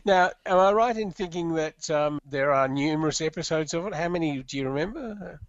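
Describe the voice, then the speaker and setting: Radio interviewer, male, slightly high voice, hesitant fillers; dull radio sound. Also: brisk, no room sound. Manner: leading questions, hesitant with fillers.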